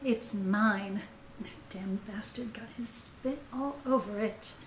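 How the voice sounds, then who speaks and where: A woman's voice: mid-range voice, takes it slowly, soft background music, natural voice. A sardonic, hard-nosed woman of a noir radio play, played by an actress. She needles bluntly, and the recording is roomy and slightly distant. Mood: grumbling. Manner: blunt, teasing, sarcastic. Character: tough, sardonic woman in noir detective tale. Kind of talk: audio drama acting. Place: indoor room on video call. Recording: roomy, off-mic home webcam sound.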